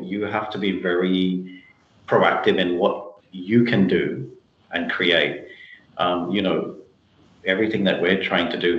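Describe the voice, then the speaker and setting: A man answering interview questions on a video call, explaining things emphatically in a conversational way. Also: deep voice. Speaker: interview guest, tech company chief executive. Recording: muffled, roomy video call audio.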